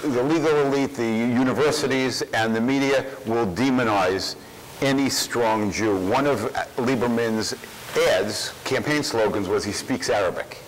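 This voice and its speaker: A man answers audience questions at a public event, pressing his points by repeating them. His voice sits at a middle pitch. A faint hiss runs under the recording. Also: a little slow, rough recording. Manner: emphatic, repeats phrases for force.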